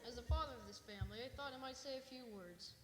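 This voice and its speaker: A man's voice: very high-pitched, racing, music in the background. A man playing an earnest, folksy, sentimental father in an old-time radio comedy sketch. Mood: solemn, sentimental. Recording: noisy echoey hall.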